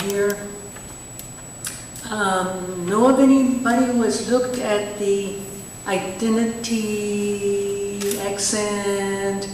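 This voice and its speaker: Slow, halting woman asking a question. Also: mid-range voice, darkish tone, slight background noise. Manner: halting, many fillers. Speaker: audience member asking a question. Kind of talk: audience question. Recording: distant echoey hall mic.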